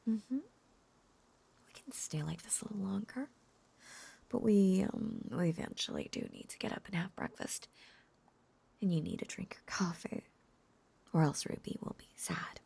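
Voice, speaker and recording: A woman playing a lovesick, possessive, lonely witch in an intimate audio roleplay, coaxing and halting in a breathy whisper. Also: mid-range voice, sing-song, warm tone, full character voice. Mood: tender, coaxing.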